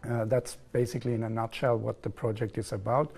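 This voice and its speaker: A man's voice: medium pitch, level tone, vocal fry, darkish tone, breathy edge, soft background music. A man in his forties from southern Germany with a German accent, explaining his work carefully as a guest in a TV studio interview. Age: 40s. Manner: measured, explanatory, repeats set phrases.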